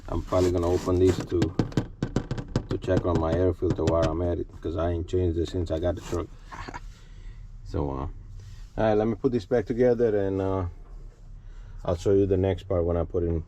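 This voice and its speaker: A man's voice: low voice, fairly even intonation, normal speed, pauses often, dark tone. A man talking viewers casually through a hands-on repair job as he works, in an informal vlogger style. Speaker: trucker vlogger. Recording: clear background noise, clicks.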